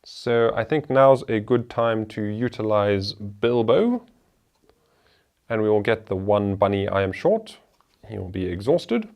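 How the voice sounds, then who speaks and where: A man's voice: fairly low pitch, gravelly, darkish tone. A man hosting a board game show, talking through the rules and each move of a solo playthrough in a chatty way.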